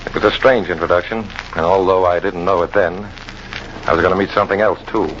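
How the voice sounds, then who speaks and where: Through clear noise on a muffled old radio recording, a cynical American man, a jungle guide on the run. His voice is very low, his manner tough, wry and slangy, like hard-boiled radio narration. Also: fairly even intonation, fast talker, a bit bright. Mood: ominous.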